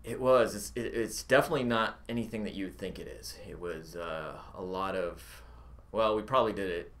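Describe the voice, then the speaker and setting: A man's voice: low-pitched, brisk, fairly bright tone. A man being interviewed about his game studio in a casual livestream Q&A, easygoing and rambling with fillers. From born in Vietnam, grew up in Arizona.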